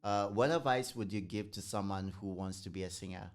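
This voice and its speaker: A man hosting a podcast interview, with a raspy voice, stumbling over words and joking. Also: deep voice. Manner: halting, repeats words, jokey. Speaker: podcast host. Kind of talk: casual interview chat.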